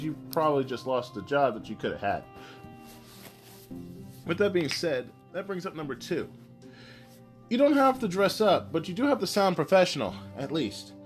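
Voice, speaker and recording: A man hosting an informal advice podcast, talking in a conversational, instructive way from loose notes. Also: a little high. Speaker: podcast host.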